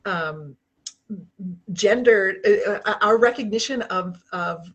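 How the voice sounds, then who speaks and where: A woman hosting a casual video-call interview, laughing often and restarting her sentences, with a relatively low voice. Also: slow pace. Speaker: host.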